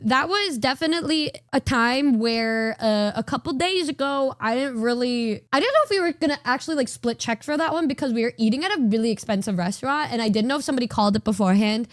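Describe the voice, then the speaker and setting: Giggly high-voiced young woman streamer. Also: dry recording, clean studio sound. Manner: giggly, chatty, often mimics others. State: voice partly gone from recent strain. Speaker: VTuber streamer. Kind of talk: casual livestream reaction chat.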